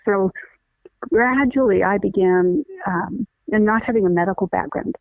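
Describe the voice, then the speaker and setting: A woman's voice: medium pitch, normal speed, vocal fry, no room sound. A middle-aged woman, a lawyer and guest, explaining things earnestly in a conversational interview with frequent restarts. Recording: tinny phone-line sound, dry.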